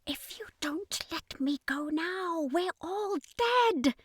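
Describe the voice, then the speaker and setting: A woman voicing a male octopus-like alien commander in a slow, drawn-out, imperious way, in a story. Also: very high-pitched, lively intonation, no room sound, voice pushed up, full character voice. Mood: desperate.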